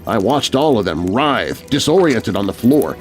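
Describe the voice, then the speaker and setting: Expressive man narrating a scary story, animated pitch. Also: moderate pace, loud music behind the voice. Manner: expressive, suspenseful. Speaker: narrator, voice artist. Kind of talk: scripted dramatic narration of scary children's story.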